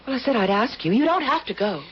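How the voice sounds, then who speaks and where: A woman's voice: on the high side, sing-song, fast, breathy edge. An actress playing a timid, honest young working woman in a radio drama, hesitant, earnest and defensive. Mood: uneasy. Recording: muffled, hissy old radio drama recording.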